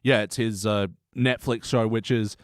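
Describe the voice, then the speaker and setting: Casual, sweary podcast host, dry close sound. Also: male voice, lowish voice, steady tone, unhurried, no room sound. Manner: informal, sweary, enthusiastic. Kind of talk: casual chat.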